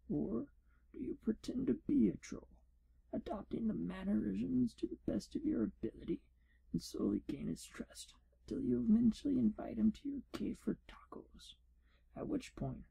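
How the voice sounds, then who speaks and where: A man playing a pompous, fussy wizard exam proctor in a whispered ASMR role-play, formal and deliberate, with a hushed, breathy, husky voice. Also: sits high, full character voice, low-quality recording.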